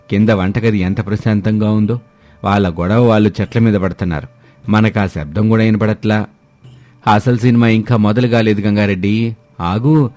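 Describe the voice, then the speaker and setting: A man's voice: fairly low pitch, darkish tone, natural voice. A man voicing village husbands and schemers in a moral story, with a colloquial, teasing manner. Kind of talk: moral story character acting.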